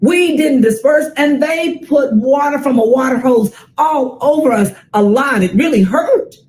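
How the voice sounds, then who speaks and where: An American woman in her sixties reads a children's story aloud with dramatic, deliberate phrasing and emphatic repetition. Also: sits high, a little mellow. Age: 60s.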